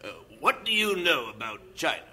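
An earnest British mission superintendent, a fervent preacher with a British accent. His voice sits high and bright and swings widely in pitch as he pleads. It is a studio radio drama. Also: big pitch swings, fairly quick, heavily acted. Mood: chatty.

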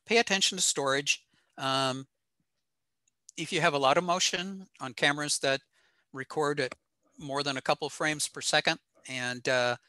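Bright-voiced man giving a technical presentation. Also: mid-range voice, dry recording. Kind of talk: informal slide presentation on technical gear. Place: indoor home room, over a video call.

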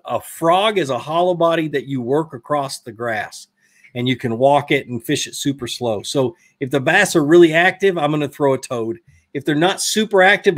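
A man in his thirties with a Southern US accent, hosting a fishing live stream and casually answering viewer questions in a rambling, self-correcting way. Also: fairly high pitch. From Georgia, US. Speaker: fishing channel host. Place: indoor studio room, brick wall. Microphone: desk mic in front.

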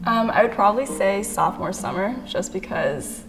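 A woman's voice: mid-range voice, normal speed, music in the background, poor audio. A young woman, a graduating student, reflecting earnestly in a casual interview. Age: young adult.

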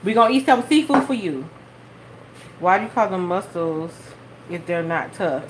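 A woman's voice: on the low side, animated, moderate pace. A young woman hosting a live cooking stream from her home kitchen, chatting while she cooks and thanking viewers by name. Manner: chatty, reads viewer comments and thanks them. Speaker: cooking channel host. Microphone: phone camera held over the stove. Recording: phone audio with background noise.

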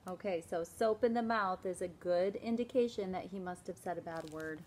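A woman in her forties, a teacher, reading a picture book aloud from indoors and pausing to explain the pages, with a slow, emphatic parent's voice for the scolding lines. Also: fairly low pitch, a little mellow, a little hiss or hum. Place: indoors, small room before a plain wall.

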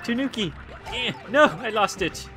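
A hoarse young man giving goofy, excitable commentary over his gameplay, full of ums and likes. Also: high voice, moderate pace. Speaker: let's play gamer. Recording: game music behind voice.

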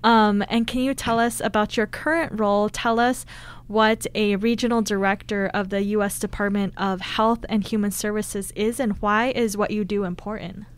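A woman's voice: medium pitch, fairly even intonation, normal speed, clean tone. A woman speaking as a radio show host, interviewing a guest in a studio.

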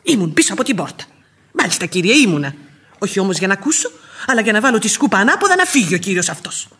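A husky-voiced old housekeeper in a radio drama. She is outspoken and argumentative, grumbling bluntly yet fiercely devoted. Her voice sits at a middling pitch. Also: sing-song, fairly bright tone. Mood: indignant. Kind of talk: Greek radio theatre acting.

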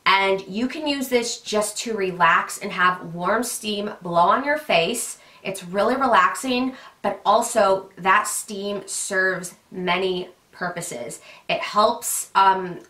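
A woman vlogger in her thirties talks brightly and chattily, tossing in plenty of fillers as she gushes. She sounds slightly stuffed up and nasal. Her voice rings in a roomy, echoing home studio. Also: medium pitch, slow pace. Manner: chatty, gushing, many fillers. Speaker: beauty vlogger. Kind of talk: casual product recommendations to camera. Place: pink bedroom studio with vanity mirror and lit tree.

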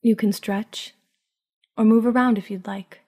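Female meditation guide: dark, relaxed tone, very even pitch, soothing and hushed, leaving long pauses. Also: slightly rough. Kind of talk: guided sleep meditation, scripted narration.

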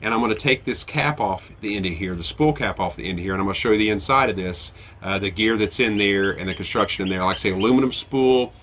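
A man in his forties talks through a review outdoors, his voice stuffy and nasal from a head cold. His tone is bright and tense on a slightly low pitch, explaining in a chatty, step-by-step way. A faint hiss sits behind him. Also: fast, few pauses. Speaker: fishing channel host. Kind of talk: casual product review. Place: outdoor deck by a lawn.

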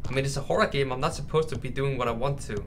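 A man's voice: mid-range voice, rapid-fire. A man doing casual, nervous commentary over a horror game, chatting to his viewers. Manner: nervous, addresses viewers as bros and mate. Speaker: gaming YouTuber. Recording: clear background noise with game ambience.

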